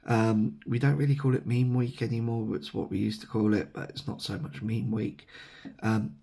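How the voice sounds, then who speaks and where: Hoarse English man rambling at camera. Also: fairly low pitch, darkish tone. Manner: rambling, many ums, self-correcting. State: losing his voice, sore throat. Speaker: vlogger. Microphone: camera mic, seated at camera.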